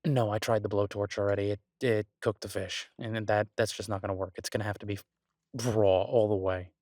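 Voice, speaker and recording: Man acting as a low-voiced, exasperated, affectionate chef boyfriend. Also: fairly even intonation, a little mellow, dry recording, clean studio sound, lightly acted. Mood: exasperated, musing. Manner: conversational, halting with stammered restarts. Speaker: audio roleplay voice actor. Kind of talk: intimate boyfriend roleplay, one-sided casual dialogue.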